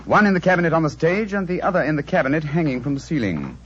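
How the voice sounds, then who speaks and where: An actor playing a confident, analytical English gentleman detective in an old-time radio drama, crisp and condescending, with a British accent. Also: on the high side, sing-song, racing. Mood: assured, explanatory. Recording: dull, noisy vintage radio recording.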